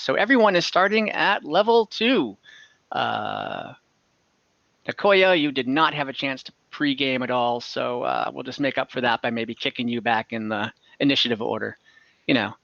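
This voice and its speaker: Bright-voiced man running a game, muffled call. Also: on the high side, gravelly, no echo. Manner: halting, pausing to think as he describes scenes. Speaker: game master. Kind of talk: narrating an online tabletop game. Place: indoor room on a group video call. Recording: dull video-call audio.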